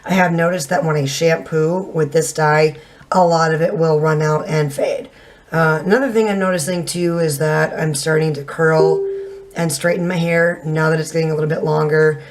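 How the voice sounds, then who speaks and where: A woman in her thirties, slightly hoarse from little sleep, giving a frank, chatty hair dye review to a camera at home. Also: sits low, fairly even intonation, normal speed. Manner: frank and chatty, casual swearing. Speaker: beauty vlogger. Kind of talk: casual product review to camera. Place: home room with shelves, indoors.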